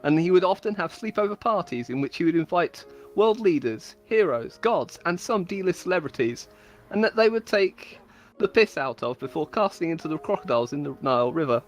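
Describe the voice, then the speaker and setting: A woman's voice: lowish voice, animated, brisk, soft background music. A woman giving a playful, mock-solemn dramatic reading of her own comic stories, like a storyteller. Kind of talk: comedic dramatic reading of scripted tales.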